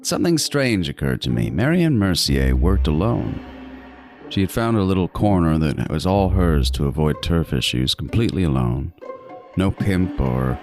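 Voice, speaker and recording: An extremely deep male voice for a cold, obsessive killer, acted by a man, telling his own horror story. He is deliberate and brooding, gloating with quiet menace over clear background music. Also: big pitch swings. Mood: calculating. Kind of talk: first-person horror audio drama narration. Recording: music bed under the voice.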